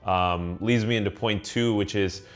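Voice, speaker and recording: A young man from the United States talks through his thoughts in a slightly low, steady voice. He is earnest and conversational, with plenty of ums and false starts. Soft music runs faintly underneath. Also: normal speed. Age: young adult. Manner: earnest, with frequent ums and restarts. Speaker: vlogger. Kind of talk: reflective personal monologue.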